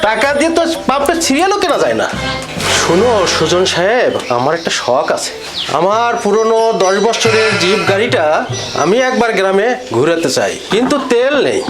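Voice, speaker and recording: A man's voice: sits high, very animated, moderate pace, loud music behind the voice. A man playing an imperious, pompous village patriarch bargaining over a marriage in a comic drama. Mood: haughty, demanding.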